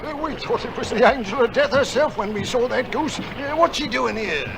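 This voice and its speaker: An actor playing a frightened, worn-out British soldier in a radio drama, with loud water and boat noise. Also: male voice, very high-pitched, expressive, normal speed, creaky, heavily acted. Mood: shaken. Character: frightened, exhausted British soldier awaiting rescue at sea. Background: boat and water sounds.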